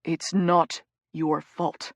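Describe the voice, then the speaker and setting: A woman voicing a gentle young male hockey player who comforts his partner in low, soft murmurs. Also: flat delivery, very slow, no room sound, studio quality, exaggerated voice. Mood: soothing.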